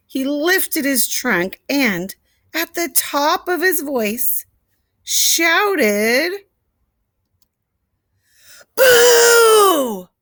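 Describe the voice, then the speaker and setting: A woman storyteller reading a picture book expressively to young children, deliberately, with asides and questions to the listeners. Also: sits high, expressive, sharp tone, no room sound, studio quality. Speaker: read-aloud storyteller.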